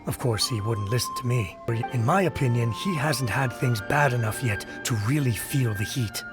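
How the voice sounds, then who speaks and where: A man's voice: medium pitch, moderate pace, natural voice. An actor playing a gossipy, disapproving village townsman in a video game, talking in an exasperated, scornful, conversational way. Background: game music.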